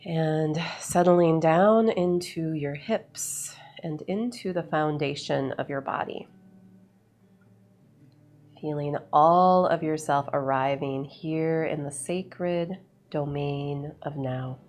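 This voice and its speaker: A woman leading a guided meditation in a soothing, unhurried way, with measured phrases and long pauses. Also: deep voice, a little mellow, slight background noise. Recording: voice over background music. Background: background music.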